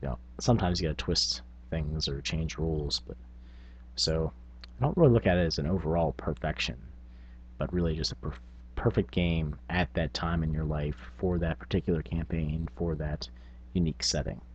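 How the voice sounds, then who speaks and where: A man's voice: a bass voice, moderate pace, slight background noise. A man hosting a tabletop gaming vlog, talking casually in a rambling, self-effacing, unscripted way. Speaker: tabletop gaming video host.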